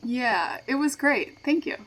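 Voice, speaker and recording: An actress playing a young, curious documentary maker who is polite, wry and playful, in an audio drama. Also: female voice, high-pitched, expressive, measured pace, fairly bright tone, exaggerated voice. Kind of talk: audio drama acting with narration.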